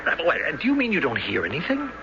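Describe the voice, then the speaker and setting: A man acting in a 1970s radio drama as a timid, soft-hearted banker, hesitant, stammering, polite and formal. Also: fairly high pitch, huge pitch swings, quick pace. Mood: distracted, hesitant. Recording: dull, noisy 1970s radio broadcast.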